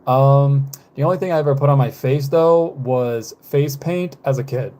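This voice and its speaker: Man in his twenties, dark mellow voice, casual stream chat, hesitant, self-correcting. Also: medium pitch, normal speed. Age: 20s. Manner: rambling, hesitant, many fillers and self-corrections; frequent soft laughs. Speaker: live streamer. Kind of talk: casual chat with live viewers.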